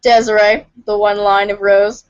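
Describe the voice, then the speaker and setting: A woman's voice: medium pitch, flat delivery, normal speed, clean tone, no echo, muffled recording. A woman, a readers' theater cast member, chatting casually. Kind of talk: casual chat.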